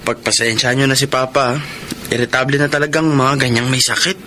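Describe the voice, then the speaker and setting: A Filipino actor playing a polite, good-humoured, flattering married son in a radio drama. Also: male voice, medium pitch, fairly quick, slight background noise, close to an everyday voice. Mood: polite, appreciative. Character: genial, flattering married grown son of sick man.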